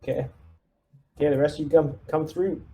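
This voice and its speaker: Mellow man playing a tabletop game. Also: on the high side, steady tone, brisk. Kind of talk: casual in-game chat and orders.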